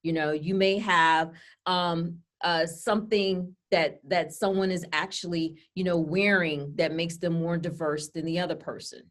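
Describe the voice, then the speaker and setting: A woman's voice: fairly low pitch, fairly even intonation, a little slow. A woman co-hosting a casual webinar presentation from home, talking freely with many "you know" and "okay?" asides. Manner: chatty, full of fillers like "you know" and "okay?". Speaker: co-host and presenter. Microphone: laptop webcam on a video call.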